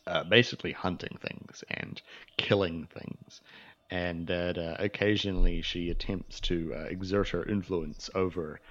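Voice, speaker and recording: A man narrating as game master in a halting way, full of fillers and restarts. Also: deep voice, steady tone, a bit bright, dull audio.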